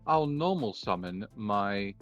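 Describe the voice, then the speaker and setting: A man playing a polite, measured rival duelist in an improvised anime-style card game roleplay, pausing between phrases. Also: lowish voice, expressive, fairly bright tone, faint music behind. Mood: composed, intrigued.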